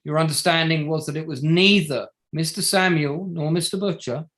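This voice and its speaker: An actor playing a probing, sardonic barrister at a public inquiry in a play reading, formal and pointed, pressing with rhetorical questions. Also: male voice, a little high, moderate pace, fairly bright tone, lightly acted. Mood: methodical, insistent. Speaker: actor in an online table read. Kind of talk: courtroom-style cross-examination in a play reading.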